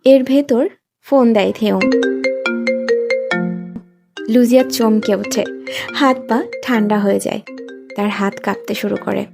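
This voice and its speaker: A woman's voice: medium pitch, a little slow, gravelly, pauses often. A woman narrating a scripted romantic story as a storyteller, with music behind. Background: background music.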